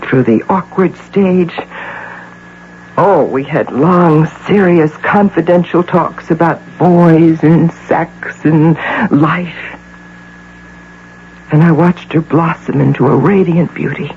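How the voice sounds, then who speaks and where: A woman's voice: fairly low pitch, takes it slowly. An American actress playing an imperious, middle-aged executive obsessed with motherhood, intense and theatrical in radio drama style. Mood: wistful resolve. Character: imperious, obsessive executive woman, desperately devoted imaginary mother. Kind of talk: 1980 radio drama acting. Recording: tinny, hissy old radio broadcast with hum.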